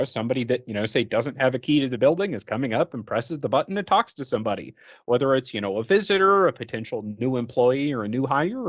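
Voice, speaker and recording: A man gives an informal training presentation in a mid-pitched voice. He explains step by step in a conversational, loosely phrased manner. The recording is dry and a little muffled. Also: no room sound. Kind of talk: informal instructional slide narration.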